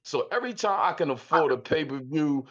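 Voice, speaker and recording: A man's voice: on the high side, expressive, fairly quick. A man, calling in and talking bluntly in slang, repeating himself. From South Philadelphia. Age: 50s. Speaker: caller. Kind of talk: call-in chat. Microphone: Discord call. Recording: muffled call audio.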